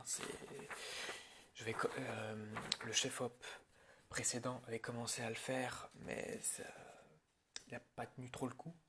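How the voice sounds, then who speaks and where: Slow, gravelly Frenchman in halting ASMR roleplay. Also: mid-range voice, heavy background noise. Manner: hesitant, halting, informal. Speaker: ASMR creator playing a film director in a roleplay. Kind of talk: improvised ASMR roleplay, addressing imagined film crew.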